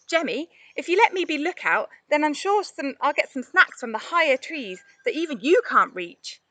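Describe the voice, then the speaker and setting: A woman's voice: high voice, moderate pace, dry recording, voice pushed up, exaggerated voice. A woman voicing a small, chattering, eager male monkey in a children's storybook. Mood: eager, persuading. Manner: excitable, chattering.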